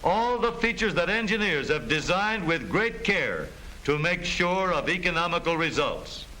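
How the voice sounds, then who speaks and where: A man with an American accent narrates in the style of a 1930s educational film. His voice sits high and swings animatedly as he explains with formal emphasis. The soundtrack is vintage, with a faint layer of noise. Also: animated, normal speed, bright, forceful tone, rough recording.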